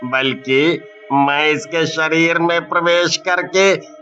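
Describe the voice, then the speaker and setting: Hungry man-eating ghost, horror story: very slow, piercingly forceful, menacing and gloating. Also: fairly high pitch, steady tone. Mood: scheming. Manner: gloating, drawn-out menacing speech with evil laughter. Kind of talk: dramatized horror bedtime story. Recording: muffled lo-fi audio with music.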